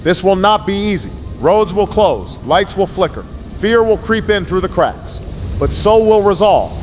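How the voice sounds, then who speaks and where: A man playing a grave, resolute president giving a measured, formal emergency address in an audio drama, with solemn reassurance. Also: sits high, a little hiss or hum, close to an everyday voice. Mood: solemn, reassuring. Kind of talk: formal press conference address.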